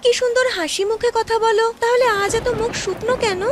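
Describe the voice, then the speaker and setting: A woman's voice: very high-pitched, brisk, pitched above the performer's own voice. A woman voicing a kind, eager young orphan woman who sells fritters, in an earnest sing-song for a cartoon story. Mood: worried. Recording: music behind the voice.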